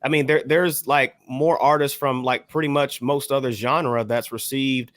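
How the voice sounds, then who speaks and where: A man's voice: medium pitch, fairly even intonation, normal speed, a bit bright, dry recording. Man in casual debate, dry sound. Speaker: panelist on a hip hop discussion show.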